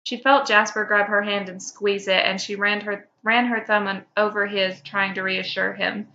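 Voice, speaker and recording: A woman's voice: mid-range voice, flat delivery, moderate pace, sharp tone. A woman who hosts a podcast, heard on a slightly lo-fi recording. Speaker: podcast host. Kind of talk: casual banter.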